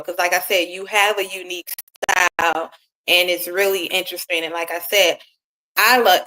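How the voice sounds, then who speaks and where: A woman's voice: on the low side, bright, forceful tone, rough recording. A woman hosting an interview, asking chatty, rambling questions full of fillers. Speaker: interviewer host. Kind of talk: casual interview.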